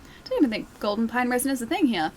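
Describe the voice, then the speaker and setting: A young woman from Australia chats and giggles through a live game stream, talking to her viewers as she plays. Her voice sits a little high. A faint hiss runs behind her. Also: very animated, quick pace. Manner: chatty and giggly, talks to chat. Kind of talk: casual live-stream commentary.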